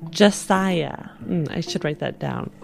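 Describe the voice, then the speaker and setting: A woman takes part in casual tabletop role-play at an unhurried, slow pace. She is hesitant and thinks aloud as she improvises, with music playing behind her. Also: sits low, expressive, gravelly, darkish tone. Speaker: player in a tabletop game. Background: music bed.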